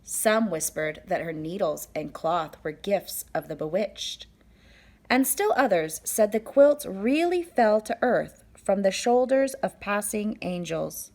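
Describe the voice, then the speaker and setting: A woman storyteller reads a children's picture book aloud. Her voice sits at a middling pitch, and she phrases deliberately, giving key words expressive, dramatic emphasis.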